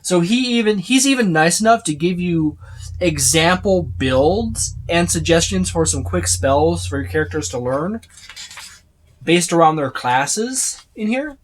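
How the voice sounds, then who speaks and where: The voice belongs to a tabletop game reviewer giving an unscripted overview. It sits at a middling pitch. The delivery is casual and rambling, circling back and listing items as it goes. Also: lively intonation, measured pace, a little hiss or hum, low-quality recording. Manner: rambling, repeats and lists items.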